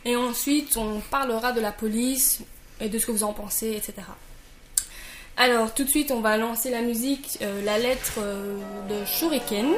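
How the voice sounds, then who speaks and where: A woman's voice: on the high side, fairly even intonation, normal speed, faint music behind. A woman hosting a youth discussion show, introducing guests and segments. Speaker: radio show host. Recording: roomy echo, faint background noise.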